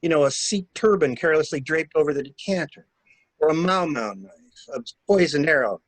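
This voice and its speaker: A man plays a shrewd, wry stranger in a murder mystery, pressing insistently for answers. His slightly high voice swings in a lively way, recorded close and dry. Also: a little fast, dry recording, rough recording. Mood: urgent, pressing. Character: shrewd, wry male stranger coaching a new widow. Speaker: library staff reader. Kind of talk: dramatic reading of a murder mystery.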